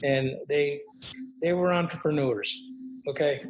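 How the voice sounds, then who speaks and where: A man's voice: a little high, a little slow, poor audio. Older man, reflective, often asks "okay?". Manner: reflective, many fillers and tag questions. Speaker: guest, author. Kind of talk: conversational interview. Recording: faint background noise.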